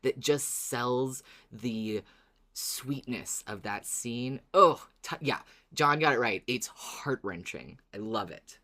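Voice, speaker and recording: A woman co-hosting a podcast in casual fan chat, expressive and enthusiastic, with some swearing. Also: very deep voice, slow pace, hardly any pauses. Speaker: podcast co-host.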